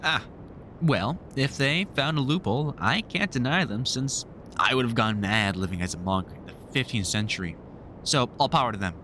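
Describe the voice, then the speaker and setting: A man playing a weary, kindly vampire hunter in an audio roleplay, talking to the listener in a halting, informal way with dry humour. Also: mid-range voice, lively intonation, pushed and bright, slight background noise. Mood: dryly informative.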